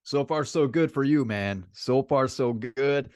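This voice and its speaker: A man's voice: mid-range voice, unhurried, darkish tone, no room sound. Casual man co-hosting, dry close sound. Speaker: sports podcast co-host. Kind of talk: casual sports banter.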